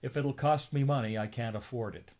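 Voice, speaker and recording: Man acting out old rich duck uncle, grumpy, stingy, blustering, gruff storybook voice. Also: medium pitch, fairly quick, a little mellow, lightly acted. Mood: grumbling.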